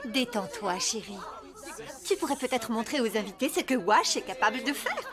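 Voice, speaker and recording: Épouse à voix haute. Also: female voice, a little high, big pitch swings, moderate pace, over music, crowd noise. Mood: reassuring. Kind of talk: cartoon dubbing.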